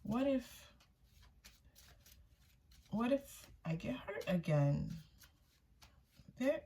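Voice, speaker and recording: A woman's voice: medium pitch, dark tone, slight background noise. A woman giving a casual, intuitive tarot reading for viewers, often repeating phrases for emphasis. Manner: voices another person's thoughts in first person. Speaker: tarot reader, channel host.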